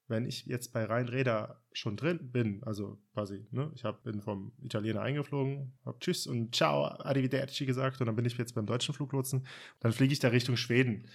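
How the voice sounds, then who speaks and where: A man's voice: medium pitch, darkish tone. Man explaining air traffic control. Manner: explains in hedged, practical detail. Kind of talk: casual podcast chat.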